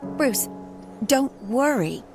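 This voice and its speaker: A woman playing a sincere, gently hesitant love interest in an audio drama. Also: high voice, animated, very slow, full character voice. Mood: tender, hurt. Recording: music under the dialogue.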